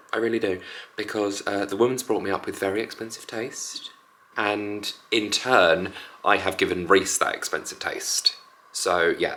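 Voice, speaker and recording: A man with a croaky, hoarse voice, chatting casually to his vlog camera with joking asides. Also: deep voice, bright, forceful tone. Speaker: family vlogger. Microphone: handheld vlog camera.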